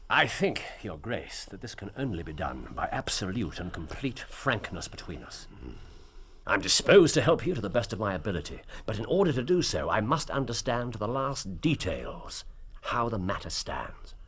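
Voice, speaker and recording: An actor playing an astute, coolly authoritative gentleman detective with a measured, formal and precise manner in a period drama. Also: lowish voice, big pitch swings, a little hiss or hum. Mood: measured, firm.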